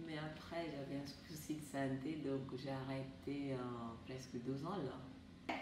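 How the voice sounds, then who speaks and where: Chatty young woman from Portugal, low-voiced. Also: measured pace. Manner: chatty and lively, laughs easily. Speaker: resident. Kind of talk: testimonial interview. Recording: echoey room, distant mic, background noise.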